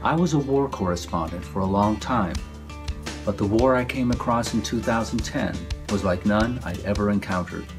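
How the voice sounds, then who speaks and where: Low-voiced man narrating over music. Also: normal speed. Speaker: author narrating his book trailer. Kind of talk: scripted narration. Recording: music bed under the voice.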